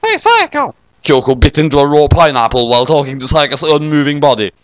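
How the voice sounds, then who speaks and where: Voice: mid-range voice, steady tone, quick pace, dry recording, studio quality. A narrator reading a scripted comic parody story.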